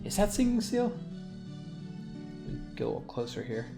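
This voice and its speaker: A man's voice: high-pitched, big pitch swings, moderate pace, gravelly, over music. A man giving casual, rambling gameplay commentary as a video host, thinking aloud as he plays.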